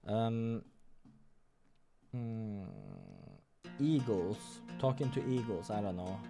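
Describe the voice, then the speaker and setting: A man's voice: fairly low pitch, takes it slowly, dark tone. A man giving casual let's play commentary, muttering asides and interrupting himself as he goes. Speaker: game playthrough narrator. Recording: background music.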